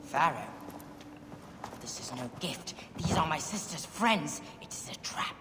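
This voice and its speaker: A man's voice: on the high side, lively intonation, unhurried. Spiteful young king for a game cutscene: volatile delivery, petulant, hall reverb. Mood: suspicious. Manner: hot-tempered, threatening. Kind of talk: video game cutscene acting. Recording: echoing hall with background noise.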